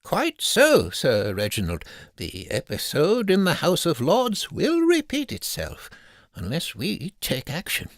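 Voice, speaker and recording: Comic audio story: grave, fussy old-fashioned physician, played by a man, formal diction, animated melody, dry recording. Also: fairly high pitch, measured pace, no room sound, full character voice. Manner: solemn, formal, theatrical.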